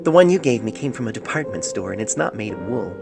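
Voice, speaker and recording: A man reads as a lovestruck young storybook mare, tender and wistful. The voice is lightened yet creaky, with music behind a homemade phone recording. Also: lowish voice, big pitch swings, quick pace. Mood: tender, hopeful. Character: young mare in love, writing a tender letter. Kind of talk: letter read aloud in story.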